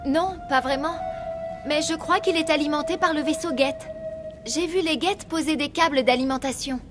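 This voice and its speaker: Actress as anxious, high-voiced young research assistant. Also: female voice, moderate pace, over music, close to an everyday voice. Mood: anxious, explaining. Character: anxious, self-blaming young female research assistant, trapped. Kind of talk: French video game dub.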